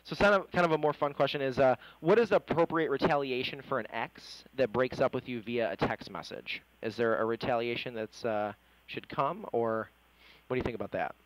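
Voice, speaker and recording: A man's voice: mid-range voice, fairly quick, rough recording. An American man working as an interviewer, chatting informally as he reads out questions in a casual interview. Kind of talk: casual phone interview, reading out reader questions.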